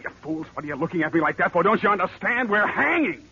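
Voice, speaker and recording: An actor playing a grim, rattled airplane pilot who speaks in blunt, clipped urgency in a vintage radio horror drama. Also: male voice, sits high, expressive, quick pace, pushed and bright, slightly rough, heavily acted. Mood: urgent, exasperated. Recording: dull lo-fi old radio recording.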